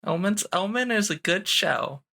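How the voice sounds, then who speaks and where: Bright, creaky-voiced man chatting. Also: high-pitched, lively intonation, normal speed. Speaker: player in a tabletop game. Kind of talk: casual chat.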